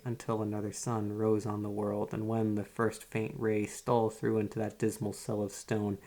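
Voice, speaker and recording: A man playing a calm, morbidly literary psychiatrist in an ASMR roleplay, speaking in a measured, solemn and quietly controlling way. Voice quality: low, gravelly. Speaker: therapist. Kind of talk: ASMR roleplay, poetry recitation and monologue.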